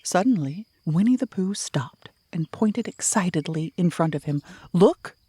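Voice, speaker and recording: A woman's voice: on the low side, expressive, moderate pace, mellow, husky. A woman reading a children's story aloud as an audiobook narrator.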